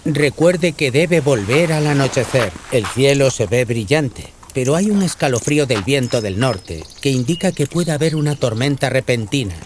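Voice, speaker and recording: A man's voice: medium pitch, lively intonation, moderate pace, hardly any pauses, background noise, lightly acted. A man playing a courteous, cautious hotel maître in a dramatized audiobook. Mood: cautioning.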